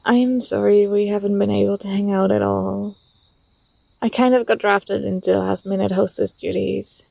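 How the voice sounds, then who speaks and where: A woman playing an affectionate, teasing young student talking to a close friend in a soft, intimate ASMR roleplay. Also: mid-range voice, steady tone, normal speed, creaky, no room sound. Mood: warm, apologetic. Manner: gentle, playful, coaxing.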